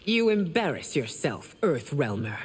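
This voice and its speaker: A proud warrior queen issues her words with stern, formal authority. Her pitch moves widely in a theatrical game-dialogue style, over faint background ambience. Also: sing-song, a little slow, slight background noise. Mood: disdainful. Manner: regal, commanding, formal. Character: imperious middle-aged warrior empress, stern and proud. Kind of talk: dramatic video game dialogue.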